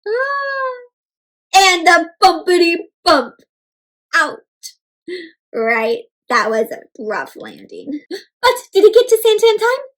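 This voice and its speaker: A woman doing an interactive storybook read-aloud for children, animated, asking the listeners questions and adding playful sound effects and exclamations. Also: very high-pitched, takes it slowly, pauses often, poor audio.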